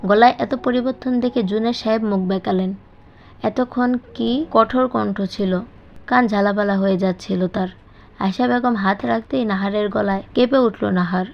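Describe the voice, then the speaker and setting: A woman's voice: mid-range voice, fairly even intonation, moderate pace, vocal fry, muffled recording. A woman reading a scripted dramatic story as an audiobook narrator. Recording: slightly band-limited, music bed behind. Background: background music.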